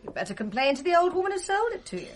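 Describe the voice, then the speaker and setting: Scornful British mother for radio drama: high, swooping delivery, prim and aggrieved. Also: sing-song, very fast, background noise. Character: scornful, disbelieving, self-pitying wife and mother.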